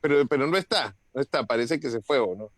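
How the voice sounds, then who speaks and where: A man's voice: mid-range voice, expressive, quick pace, fairly bright tone, dry recording. A man chatting casually on a video call, telling anecdotes and laughing as he talks. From Chile. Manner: chatty anecdote-teller, laughs while talking. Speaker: conference participant. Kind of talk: casual chat.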